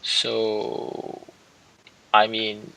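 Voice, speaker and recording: A man's voice: fairly low pitch, monotone, drawn-out words. A man hosting a casual let's-play, reading game text aloud and often stumbling and rereading as he goes. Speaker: gaming video host. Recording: clearly noisy home recording.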